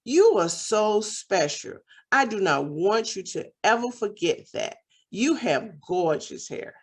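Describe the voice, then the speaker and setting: A woman voicing a gentle, loving mother who speaks sweetly to soothe her child. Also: medium pitch, sing-song, slow, breathy edge, full character voice. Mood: tender.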